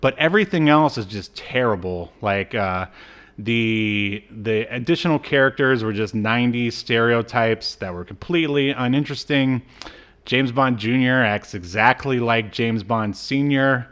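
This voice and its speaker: A man co-hosting a podcast in casual review chat, with a halting, rambling delivery. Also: medium pitch, a bit bright. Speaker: podcast co-host.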